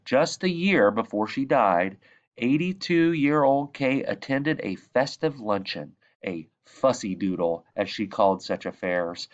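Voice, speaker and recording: A man reading a children's book aloud as a narrator, with measured, expressive phrasing and emphasis on key words. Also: mid-range voice, lo-fi sound. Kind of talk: read-aloud storybook narration.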